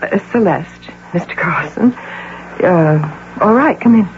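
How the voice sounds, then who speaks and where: A woman's voice: on the low side, lively intonation, slow. Poised, guarded American career woman. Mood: cool, reserved. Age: middle-aged. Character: poised, guarded middle-aged female advertising executive. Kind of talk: old-time radio drama acting. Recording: dull hissy radio drama recording with hum.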